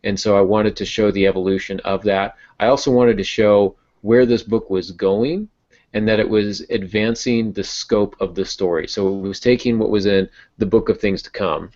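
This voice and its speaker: A man with a fairly low voice talks in a relaxed, conversational podcast style. He explains things through personal anecdotes as a working writer would. The audio is a little dull and band-limited. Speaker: novelist and podcast host. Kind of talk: casual podcast talk about writing.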